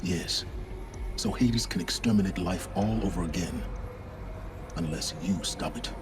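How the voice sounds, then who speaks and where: Deep-voiced, aloof, calculating mentor. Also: male voice, noisy background. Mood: intense, instructive. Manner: deliberate, eloquent, theatrical phrasing. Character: aloof, calculating male mentor confessing his part in a cult. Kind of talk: dramatic video game dialogue.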